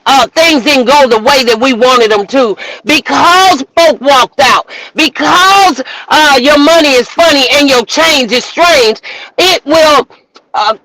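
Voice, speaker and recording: Bright-voiced woman preaching emphatically. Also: high voice, no room sound, rough recording. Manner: emphatic, preacherly, rising to shouts. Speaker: minister and host. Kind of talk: motivational preaching on a livestream. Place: outdoors walking in the rain.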